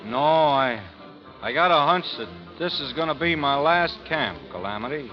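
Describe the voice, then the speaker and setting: An actor playing a weary, steely frontier gunfighter in old-time radio drama style, sometimes hesitant, sometimes terse. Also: male voice, on the high side, lively intonation, normal speed, lightly acted. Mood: reflective, weary. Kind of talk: 1940s radio acting. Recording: muffled old radio broadcast, faint music.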